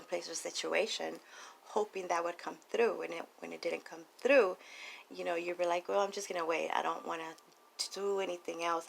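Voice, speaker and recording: A woman giving a casual, improvised tarot reading in a conversational way, with some gravelly fry in her voice. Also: fairly low pitch, fairly bright tone, poor audio. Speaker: tarot reader, channel host. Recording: audible background noise.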